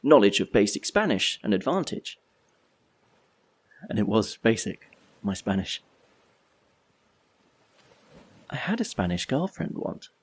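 A man's voice: lowish voice, sing-song, moderate pace, long pauses, slightly rough. An actor playing a wistful, solitary drifter who tells his story in a reflective, wry monologue for an audio play.

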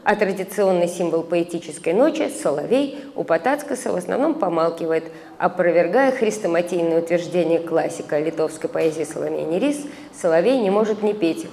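A middle-aged woman reading an academic paper aloud at a conference, measured, with hesitant fillers and recited verse. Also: lowish voice, mellow. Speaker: conference speaker, translator. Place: conference hall, indoors. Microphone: stand microphone at a lectern. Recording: reverberant hall, faint background noise.

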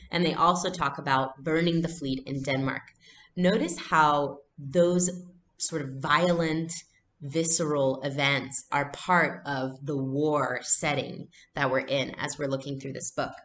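A woman's voice: sits low, measured pace, fairly bright tone, roomy sound, slight background noise. A young adult woman giving a read-aloud lesson as a teacher, explaining and narrating, recorded at home on a computer mic. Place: small furnished room at home.

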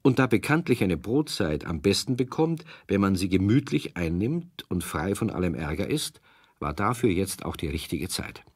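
A man's voice: low-pitched, very animated, normal speed, darkish tone, slightly rough, no room sound, studio quality. A man narrating a children's radio play in storytelling style.

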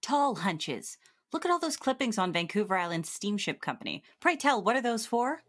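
A woman playing a tough, suspicious police detective with a gruff, sardonic manner in an audio drama. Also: mid-range voice, very animated, normal speed, a bit bright.

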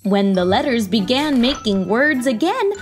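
A woman reads a picture book aloud for children in a high voice. Her pace is slow and deliberate, and her pitch moves theatrically. Faint music plays behind her. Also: animated. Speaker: children's read-aloud host. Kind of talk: expressive storybook narration. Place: small indoor room.

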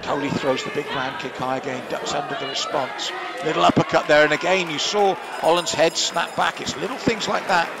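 A man calls a kickboxing fight live from ringside, picking apart the styles and weighing in with his own opinion. His voice sits a little high. A loud crowd and constant venue noise fill the background. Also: fairly even intonation, a little fast, gravelly, few pauses. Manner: analytical, gives personal opinions on the scoring. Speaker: ringside commentator.

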